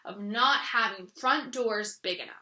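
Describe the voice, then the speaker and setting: A woman voicing a gentle, anxious boy bear in a storybook reading, speaking slowly and plaintively. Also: medium pitch, pitched below the performer's own voice. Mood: complaining. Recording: roomy echo.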